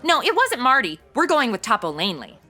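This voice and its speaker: An actress playing a breezy, tactless young podcaster with flippant modern slang in a comedy audio drama. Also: female voice, a little high, wildly swooping pitch, a little fast, pushed and bright, soft background music, full character voice.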